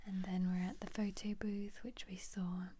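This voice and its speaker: A woman speaking in a breathy, unvoiced whisper close to the mic, gently musing in a casual ASMR commentary. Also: mid-range voice, flat delivery, normal speed, slight background noise. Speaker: ASMR video creator.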